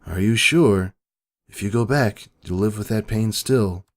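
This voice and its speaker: A man voicing a calm female pony doppelganger, solemn and gently probing, in a dramatic story reading. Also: lowish voice. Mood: gentle, questioning. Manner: measured, solemn. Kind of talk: dramatic fan fiction reading. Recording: background music.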